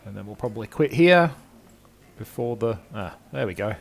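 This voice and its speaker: A man's voice: fairly low pitch, expressive, normal speed, gravelly. An older man giving casual, hesitant let's play commentary, half talking to himself. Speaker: game player commentating.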